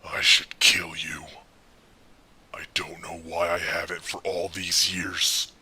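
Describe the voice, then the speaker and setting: A grim, world-weary vigilante hero. His voice is low and very flat, with a hard, raspy edge. He sounds desperate and intense, choosing his words deliberately. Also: full character voice. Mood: bitter, desperate. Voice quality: gravelly, husky growl. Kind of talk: fan audio drama acting.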